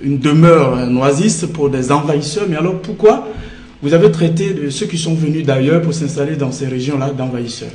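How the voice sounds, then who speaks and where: Male book show host, middle-aged. Fairly high, soft-edged mellow voice in a roomy studio. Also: a little fast. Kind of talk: literary interview. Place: small indoor TV studio. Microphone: shared desk microphone. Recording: roomy, echoey studio sound.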